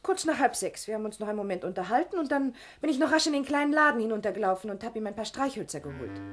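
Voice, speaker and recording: An actress playing a brisk, direct office clerk with a teasing streak in a 1960s German radio crime drama. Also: female voice, a little high, soft background music. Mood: businesslike, concerned. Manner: lively, direct.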